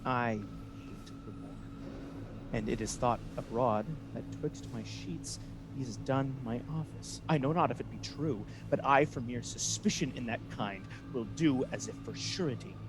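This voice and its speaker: A man's voice: medium pitch, unhurried, over music, lightly acted, rough recording. A man playing a scheming, resentful Venetian ensign in a Shakespeare radio play, persuasive and theatrical. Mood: quiet plotting.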